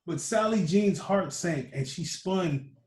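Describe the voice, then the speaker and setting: A man reading a children's picture book aloud as a storyteller, with animated emphasis. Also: high voice, slow. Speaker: storytime reader. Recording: roomy echo.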